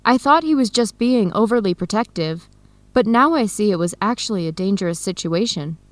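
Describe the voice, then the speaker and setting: A woman's voice: medium pitch, expressive, moderate pace, no echo, close to an everyday voice. An actress playing a friendly, curious and candid young woman in an educational radio drama. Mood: surprised, candid.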